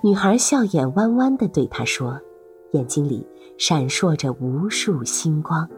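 A woman's voice: low-pitched, animated, unhurried, music in the background. A woman narrating a serialized fantasy audio drama as its host, in a dramatic scripted storytelling style.

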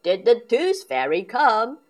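A jolly storybook father pig, booming and good-natured, taking his time, as a woman reads him aloud. His lines come out loud and lively. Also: slow, heavily acted. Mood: hearty.

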